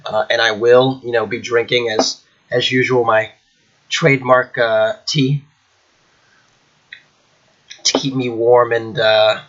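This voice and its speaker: A man's voice: mid-range voice, fairly bright tone, dull audio. Congested man chatting about chess. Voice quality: slightly nasal. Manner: rambling with many fillers. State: congested from a cold. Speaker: chess channel host. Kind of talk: casual chess commentary. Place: at home indoors.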